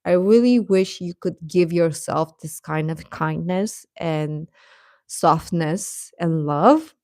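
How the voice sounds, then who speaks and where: A woman hosting a casual livestream, chatting to viewers in a gentle, encouraging, affectionate way. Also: lowish voice, slow pace, no echo, clean studio sound. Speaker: livestream host.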